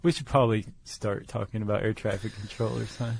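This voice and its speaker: Gravelly man in casual podcast chat. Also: lowish voice, fairly even intonation, a little fast, a little mellow. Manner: informal, conversational, explains with loose analogies. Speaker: podcast co-host.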